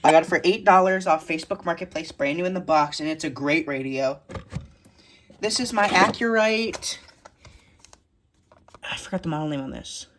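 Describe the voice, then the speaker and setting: A woman's voice: low voice, normal speed, long pauses, a bit bright, a little hiss or hum. A woman giving a casual, blunt, informal show-and-tell tour of a collection as a vlogger. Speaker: vlogger showing a radio collection.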